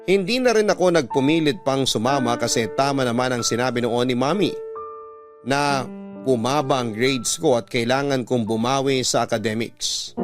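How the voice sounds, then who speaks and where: A man reading as a wistful, hurt young university student in a sentimental, measured radio love-story narration. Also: medium pitch, lightly acted. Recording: music bed behind the voice.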